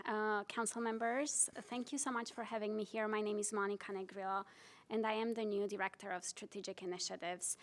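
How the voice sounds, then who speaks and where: A woman in her forties from Romania presents to a city council in an even, steady tone that barely rises or falls. She speaks earnestly and pauses often on "uh" as she goes. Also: mid-range voice, a little hiss or hum. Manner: earnest, many "uh" fillers. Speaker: county director presenting to council.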